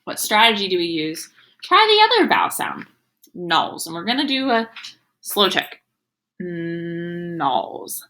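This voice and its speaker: A woman's voice: fairly low pitch, sing-song, takes it slowly, sharp tone. A woman teacher reading aloud to young children, explaining as she goes in an instructive way and sounding out words. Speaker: elementary school teacher.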